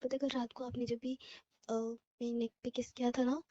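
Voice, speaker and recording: A woman's voice: a little high, flat delivery, normal speed, warm tone. A young woman on a phone call, giggling, coy and teasing in casual chat. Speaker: caller. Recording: dull lo-fi phone call recording.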